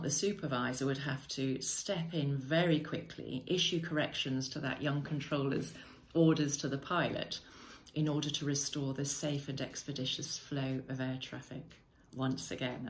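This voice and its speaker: Low-voiced woman reflecting thoughtfully. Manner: measured, thoughtful, with frequent "uh" and self-corrections. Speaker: podcast host. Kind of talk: reflective spoken monologue.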